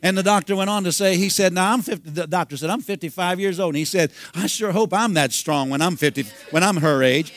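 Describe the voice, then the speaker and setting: A man's voice: high voice, a little fast, hardly any pauses. Fifty-something man preaching a sermon. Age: 50s. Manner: storytelling, bursts into shouting and hallelujahs. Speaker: pastor.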